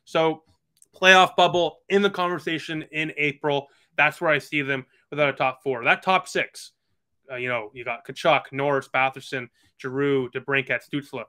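A man's voice: a little high, fairly even intonation, moderate pace, bright, forceful tone. A man of about twenty, chatting earnestly as a podcast guest over a video call with lots of fillers. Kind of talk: casual video call chat.

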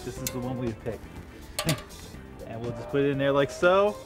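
A man's voice: mid-range voice, animated, moderate pace, loud music behind the voice. A man building a grill in a backyard, wry and joking. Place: backyard outdoors.

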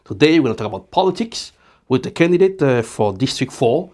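A middle-aged man hosts a studio interview. His pitch is mid-range and moves in lively swings as he introduces topics and puts formal questions to a guest. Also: brisk. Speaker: TV interview host. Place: indoor TV studio at a desk.

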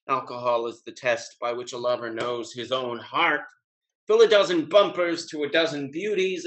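A young man playing a merry, hard-drinking gentleman rake who declaims grandly in a classical stage comedy. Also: on the high side. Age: young adult. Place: home room, video call.

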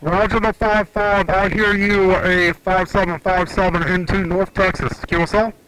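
A man's voice: high-pitched, level tone, normal speed. A man in his thirties making casual amateur radio contacts outdoors in a backyard. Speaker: amateur radio operator and vlogger. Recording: very noisy outdoor camera sound.

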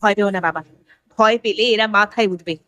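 A woman's voice: medium pitch, fairly quick, bright, forceful tone, no echo, close to an everyday voice. A woman playing a fearless, level-headed young village daughter in a horror cartoon, firm and questioning. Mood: bold. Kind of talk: animated horror story acting.

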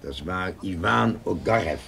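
An actor playing a grave, kindly plain-spoken rescuer in a radio play, with a raspy voice. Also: male voice, low voice, lively intonation, measured pace, fairly bright tone, a little hiss or hum, heavily acted. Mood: grave, caring. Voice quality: raspy, creaky. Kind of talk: German radio play acting.

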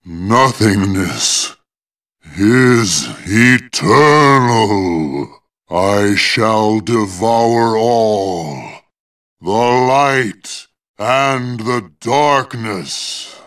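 An actor playing a cold, imperious villain in a grandiose, menacing manner. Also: male voice, fairly low pitch, lively intonation, very slow, a bit bright, far from the mic, exaggerated voice.

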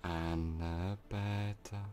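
A man guiding a sleep hypnosis session in slow, soothing, drawn-out phrases. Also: sits low, little pitch movement, a little mellow.

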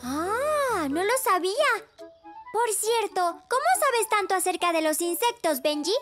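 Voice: extremely high pitch, lively intonation, a little slow, a bit bright, faint music behind, full character voice. A performer playing a cheerful, curious little child in a cartoon dub, with a Latin American accent.

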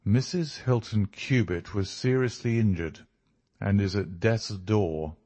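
Man playing a quiet, stern, deep-voiced detective. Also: no room sound. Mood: grave. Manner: quiet, measured, formal. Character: composed, authoritative male detective, stern and precise.

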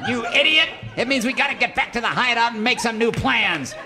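An actor playing a scheming, bad-tempered outlaw villain in a parody of an old western radio serial, sly and blustering. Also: male voice, extremely high pitch, animated, brisk, long reverb tail, over a noisy crowd, very noisy. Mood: exasperated scheming.

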